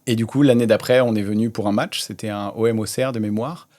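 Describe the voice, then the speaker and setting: A man in his thirties, a startup founder, telling his story in a relaxed way with many hesitations in a casual interview. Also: on the low side, fast. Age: 30s.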